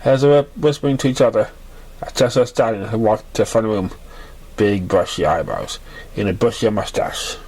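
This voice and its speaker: A man's voice: lowish voice, moderate pace, a little mellow, low-quality recording. A man reading a story aloud as an amateur narrator.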